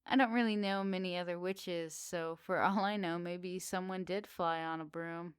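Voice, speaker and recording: Flirty cottage witch, acted by a woman, lowish pitch, soft and teasing, unhurried, intimate ASMR roleplay, dry close sound. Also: steady tone, clear, resonant tone, dry recording, close to an everyday voice. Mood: curious, amazed.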